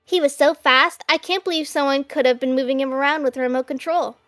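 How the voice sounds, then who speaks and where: A woman's voice: sits high, fast talker, a bit bright, dry recording. Chatty teenage girl voiced by a woman, earnest, dry recording, faint music. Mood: earnest, puzzled. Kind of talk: amateur anime game fan dub.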